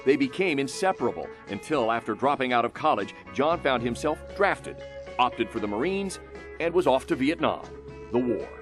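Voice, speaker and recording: An American man narrating a scripted human-interest news feature as a reporter, in short emphatic phrases with dramatic pauses. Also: mid-range voice, expressive. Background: music under the voice.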